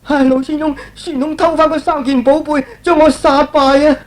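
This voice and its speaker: Man doing a demon chief, sly, showy, high-pitched, old radio drama acting. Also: level tone, moderate pace. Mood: dejected. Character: sly, showy little demon chief guarding stolen treasures.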